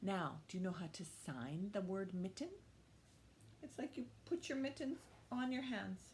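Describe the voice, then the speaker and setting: A woman's voice: medium pitch, expressive, moderate pace, darkish tone, slight background noise. A woman hosting storytime for young children, talking to them in simple questions and short phrases and reading aloud expressively. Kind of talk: children's storytime, talk and picture-book read-aloud.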